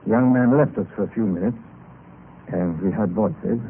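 A man acting a bored, cool gentleman adventurer who recounts his tale in a suave, formal, old-time radio style. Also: on the low side, moderate pace, lightly acted. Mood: grave. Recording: noisy, tinny old radio recording.

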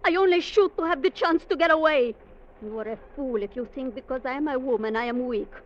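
A woman's voice: sits high, brisk, lightly acted. An actress playing a proud, armed young Latin American woman with a Spanish accent, defiant and commanding, in a 1940s radio drama. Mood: scornful, defiant. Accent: Spanish-accented English, Latin American. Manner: defiant, commanding, clipped speech. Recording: muffled, noisy vintage radio recording.

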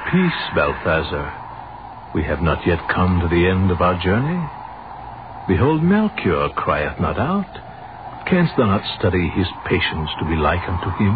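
An actor playing a courteous, devout French army captain with a French accent, speaking formally in a 1930s radio drama. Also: male voice, deep voice, huge pitch swings, full character voice. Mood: solemn, exhorting. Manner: formal, slow, solemn. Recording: muffled, noisy 1930s radio recording.

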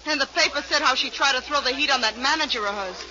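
A dull, hissy 1940s radio recording of an actress playing an American working girl. Her voice is very high, and she talks in an eager, chirpy, informal way full of period slang. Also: fast talker, close to an everyday voice. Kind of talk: 1940s radio drama acting.

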